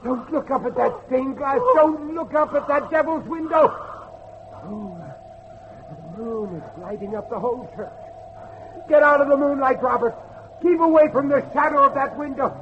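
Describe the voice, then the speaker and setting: A high-pitched, desperate preaching father. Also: moderate pace. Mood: frightened, commanding. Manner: preacherly, urgent, repeating himself. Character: desperate, pious father pleading with his possessed son. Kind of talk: dramatic horror audio drama acting. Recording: dull lo-fi audio, loud background noise.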